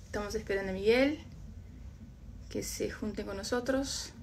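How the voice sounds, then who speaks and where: A woman's voice: mid-range voice, measured pace, a little mellow, a little hiss or hum. A woman from Brazil with a Uruguayan-Argentine Spanish accent and Portuguese as her first language, hosting a casual live-stream chat. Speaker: host.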